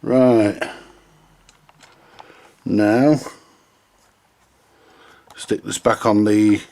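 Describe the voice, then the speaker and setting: A man's voice: on the low side, steady tone, very slow, creaky, dark tone. A man with a British accent giving casual, grumbly, mildly sweary commentary to viewers while doing hands-on repair work. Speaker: host of a vintage radio repair vlog.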